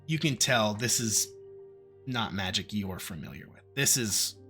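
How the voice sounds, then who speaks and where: A man's voice: on the low side, lively intonation, measured pace, sharp tone, faint music behind. A man acting as game master, narrating a tabletop game in a descriptive, conversational way with casual comparisons.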